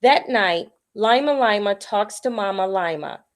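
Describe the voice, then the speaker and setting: A woman reading a picture book aloud to children in a deliberate storytelling manner. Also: mid-range voice, lively intonation.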